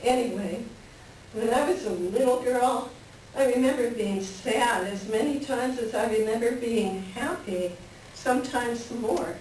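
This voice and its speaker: A woman's voice: on the high side, a little slow, darkish tone, close to an everyday voice. A woman playing a wistful, melancholy married woman in a staged play reading, with a reflective storytelling manner. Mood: subdued, pensive. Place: library reading before a microphone at some distance. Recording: very echoey room, distant mic, faint noise.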